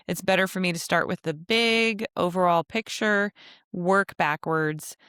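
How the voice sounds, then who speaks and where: Smooth-voiced chatty woman podcast host. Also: fairly low pitch, measured pace, a bit bright, dry recording, clean studio sound. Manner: chatty, joking asides, frequent laughs. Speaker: podcast host and travel agency owner. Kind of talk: casual solo podcast monologue.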